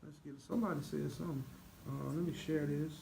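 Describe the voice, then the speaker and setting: A man's voice: fairly high pitch, fairly even intonation, fast talker, vocal fry, mellow. A man co-hosting a livestream with playful, teasing banter and mock-churchy exclamations. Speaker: co-host greeting live viewers. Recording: noisy live video stream.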